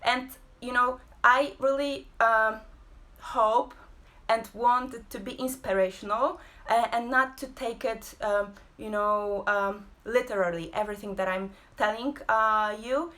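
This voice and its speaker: A woman, hosting a casual live-streamed talk from home, pausing often with fillers. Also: fairly high pitch, steady tone, bright, forceful tone. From Poland. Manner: hesitant, many fillers and pauses. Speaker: host and abstract painter.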